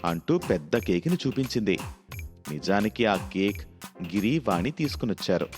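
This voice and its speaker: A male narrator reads a scripted storybook tale. His voice sits fairly low, and music plays behind it. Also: sing-song, normal speed.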